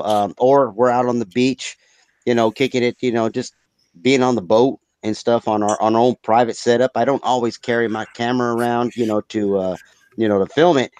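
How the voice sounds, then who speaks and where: A man with a slightly raspy voice, chatting casually and rambling with lots of "you know". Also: medium pitch, fairly even intonation, moderate pace, a little mellow, no room sound. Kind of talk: casual chat on a live show.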